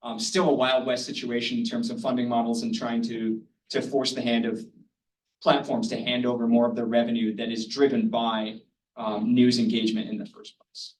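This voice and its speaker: A man's voice: medium pitch, flat delivery, moderate pace, darkish tone. Man speaking on a panel, distant and echoey. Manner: loose and conversational, with many "um"s and "kind of"s. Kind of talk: panel discussion talk. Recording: roomy hall, distant mic, faint noise.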